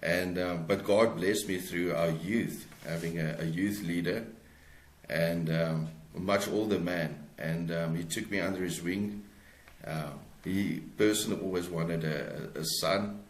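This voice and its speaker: A man in his forties with a South African accent, talking reflectively as an interview guest in a hesitant, halting way. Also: deep voice, steady tone, reverberant. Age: 40s. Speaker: guest author.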